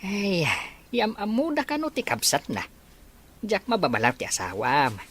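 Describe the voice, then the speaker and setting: A woman's voice: medium pitch, wildly swooping pitch, measured pace. A woman voicing an easygoing old grandfather in an audio drama, fondly grumbling, with an Ilocano accent. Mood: matter-of-fact. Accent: Ilocano Filipino. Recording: faint background noise.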